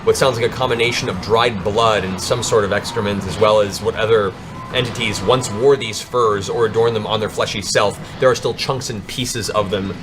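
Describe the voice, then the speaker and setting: A man's voice: lowish voice, steady tone, moderate pace, over music. A man narrating a tabletop fantasy game as game master, with a dramatic, descriptive storytelling manner.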